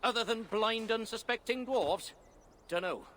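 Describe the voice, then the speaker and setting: An actor playing a cheeky, wry dwarf miner in a video game, breezy and colloquial in manner. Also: male voice, high voice, unhurried, fairly bright tone, slight background noise. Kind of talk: dramatic video game voice acting.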